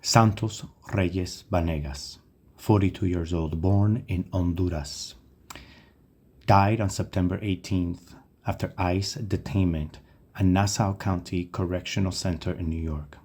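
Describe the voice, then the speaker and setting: Husky, low-voiced man reading. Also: fairly even intonation, measured pace, a little mellow. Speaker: reader in an online remembrance video. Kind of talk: bilingual scripted memorial reading.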